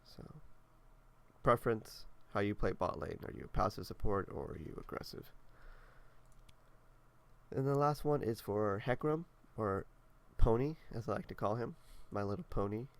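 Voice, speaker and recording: A young man talks in a relaxed, rambling way, filling gaps with casual fillers. His voice is congested and nasal. It sits fairly low and has a gravelly, fried edge. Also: moderate pace. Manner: laid-back, rambling, many fillers. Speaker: gaming video creator. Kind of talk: casual walkthrough commentary.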